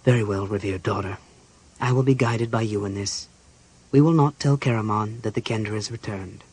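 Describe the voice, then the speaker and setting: Man as a husky, scheming archmage. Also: fairly low pitch, a little mellow, voice pushed down. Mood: persuasive, grave. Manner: measured, commanding, formal. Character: cold, controlled, scheming male archmage.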